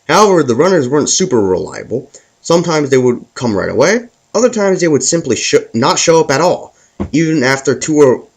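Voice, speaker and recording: A man's voice: medium pitch, darkish tone, husky. A man hosting a scripted comic story, narrating it with stumbles and repeated words as he reads. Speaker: host and storyteller.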